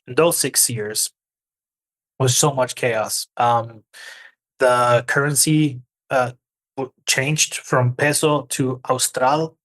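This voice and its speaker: A man's voice: mid-range voice, steady tone, slow pace, fairly bright tone, low-quality recording. A man in his forties, from Argentina and raised in Norway, speaking as an interview guest in a thoughtful, hesitant way with many restarts. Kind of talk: conversational interview.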